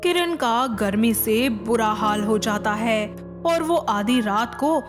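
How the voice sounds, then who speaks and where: High-pitched female storyteller, unhurried pace. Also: lively intonation, over music. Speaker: narrator. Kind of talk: scripted storytelling narration of a moral tale.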